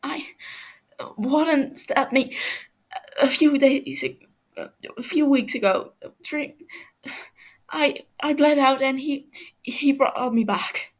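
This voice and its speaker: A woman voicing a frightened, wounded male captive who gasps and stammers through halting speech in a story reading. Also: high voice, pitched above the performer's own voice. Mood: shaken.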